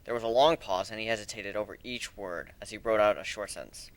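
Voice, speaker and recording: A man reading a story aloud as a dramatic narrator, in a measured, deliberate way with expressive emphasis. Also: on the low side.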